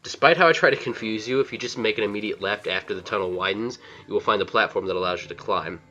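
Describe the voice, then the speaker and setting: A man's voice: fairly low pitch, quick pace, sharp tone. A man narrating a video game walkthrough as its host, giving plain step-by-step directions. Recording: slightly dull lo-fi voice-over. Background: faint game music.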